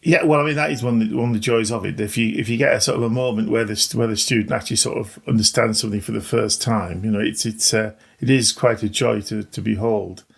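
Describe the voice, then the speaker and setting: A man, a veteran teacher reflecting informally in a halting, modest way. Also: on the low side, fairly even intonation, gravelly, breathy edge. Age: 60s. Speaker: veteran maths teacher, podcast guest. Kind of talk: reflective, informal interview talk.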